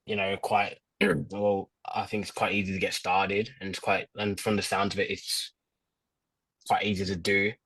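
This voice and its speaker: Low, even-voiced young man, hesitant. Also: breathy edge, dry recording, rough recording. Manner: hesitant, halting, understated. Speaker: prospective client on a sales call.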